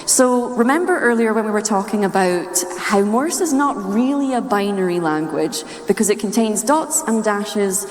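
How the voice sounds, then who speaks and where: A woman from Scotland with a Scottish accent gives a playful, humorous, nerdy talk to a live audience. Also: medium pitch. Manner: playful, chuckles at own wordplay. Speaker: speaker giving a talk. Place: large indoor room or hall, live talk. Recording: echoey hall with long reverb.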